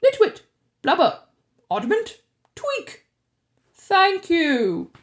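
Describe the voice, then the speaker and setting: A woman's voice: very high voice, huge pitch swings, extremely slow, voice pushed up, exaggerated voice. A woman voicing an eccentric old male headmaster who speaks grandly and playfully.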